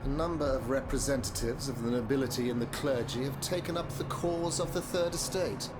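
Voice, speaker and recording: An actor playing an imperious, suspicious King of France in a video game cutscene, speaking in a measured, formal way. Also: mid-range voice, very noisy, close to an everyday voice. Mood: grave, commanding. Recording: music behind the voice.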